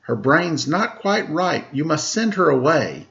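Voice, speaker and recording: A man voicing disapproving adults who judge a child, speaking slowly, in a dull lo-fi recording. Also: fairly high pitch, sing-song, vocal fry, fairly bright tone, voice pushed up, exaggerated voice.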